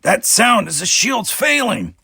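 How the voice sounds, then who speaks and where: Guy doing worried little alien engineer dude for an audiobook, high and loud, panicky, dry sound. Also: big pitch swings, a little slow, vocal fry, husky, no room sound, heavily acted. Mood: frantic, panicked. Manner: speaks of himself in third person. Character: frantic, worried young male alien engineer.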